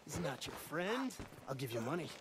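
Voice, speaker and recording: An actor playing a desperate, cornered male villain bargaining forcefully in a video game. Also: a little high, very animated, normal speed, heavy background noise. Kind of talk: video game cutscene acting.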